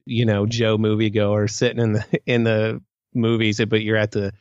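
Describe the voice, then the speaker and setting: A man's voice: lowish voice, monotone, moderate pace, darkish tone, dry recording, lo-fi sound. A man co-hosting a podcast in casual fan discussion, thinking aloud and hedging as he goes. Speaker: podcast co-host.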